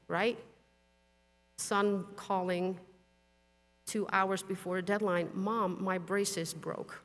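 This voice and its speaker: A woman's voice: mid-range voice, a bit bright. A middle-aged woman whose first language is Dutch, giving a frank, plain-spoken conference talk with some mild swearing. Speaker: conference speaker. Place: large conference hall. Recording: long hall reverb.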